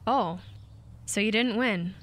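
A woman playing a gentle, modest small-town mother in a radio play, patient and a little hesitant. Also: medium pitch, takes it slowly. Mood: puzzled. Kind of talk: naturalistic radio play acting.

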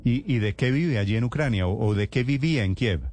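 A male news host puts short, pointed questions to his guest. His delivery is brisk and businesslike. Faint noise hums in the background. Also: medium pitch, a little mellow.

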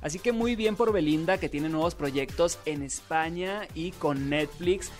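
A Mexican man hosting a radio entertainment show, with a fairly high voice for a man. His talk is chatty and playful, and he rolls back to his catchphrases. Soft music plays under him. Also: unhurried. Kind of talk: upbeat gossip radio hosting.

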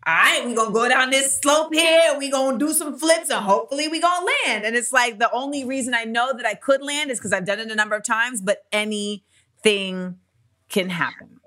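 A woman's voice: a little high, fairly quick, bright, forceful tone. A woman who was once a gymnast, interviewing in a casual, chatty way, swearing now and then and laughing often.

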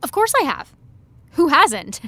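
A woman playing an awkward, evasive high school senior, hesitant and apologetic, in a fiction podcast. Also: sing-song, unhurried, bright, forceful tone, no echo, full character voice. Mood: awkward, defensive. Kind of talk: scripted audio drama dialogue.

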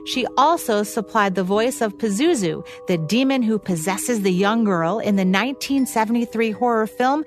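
A woman's voice: medium pitch, animated, moderate pace, hardly any pauses, faint music behind. An American woman reading scripted narration as co-host of a radio show.

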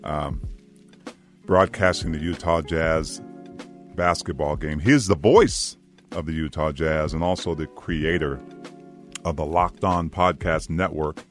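A man's voice: very deep voice, measured pace, soft background music. A man hosting an interview podcast in a casual, chummy, conversational way. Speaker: podcast host and sports broadcaster.

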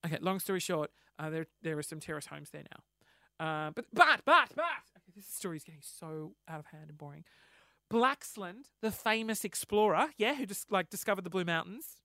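A man with an Australian accent, hoarse from losing his voice, telling a rambling, sweary, self-mocking story on his solo podcast. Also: high-pitched, animated, normal speed, pushed and bright, no echo, clean studio sound. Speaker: podcast host.

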